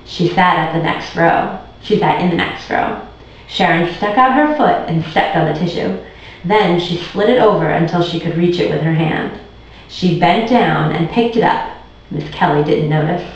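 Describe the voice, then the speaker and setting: A woman tutor reading a children's storybook aloud as narrator. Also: lowish voice. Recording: very echoey room, distant muffled mic.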